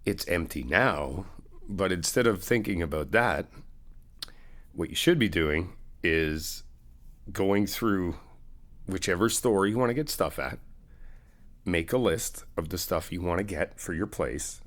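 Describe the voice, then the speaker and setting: A young man in an ASMR comfort roleplay, talking to the listener as a reassuring friend in a chatty way with soft laughs. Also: low voice, animated, measured pace, pauses often.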